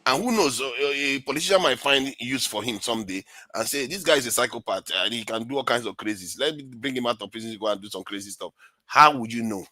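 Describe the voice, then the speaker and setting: A Nigerian man on a panel talk, speaking forcefully and with a mocking edge. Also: mid-range voice, quick pace, no room sound, poor audio. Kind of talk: heated panel discussion.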